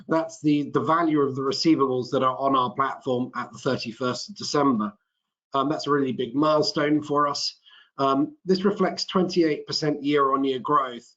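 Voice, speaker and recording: Man, middle-aged, medium pitch, measured corporate webinar presenter, muffled recording. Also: fairly even intonation, a bit bright. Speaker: company chief executive and webinar presenter.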